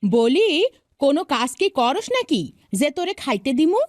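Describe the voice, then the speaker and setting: Woman voicing a shrill, scolding village stepmother. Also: sing-song, normal speed, no room sound, studio quality. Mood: grudging. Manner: sharp-tongued, scolding. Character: harsh, scolding village stepmother, cruel and impatient. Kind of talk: cartoon fairy-tale voice acting.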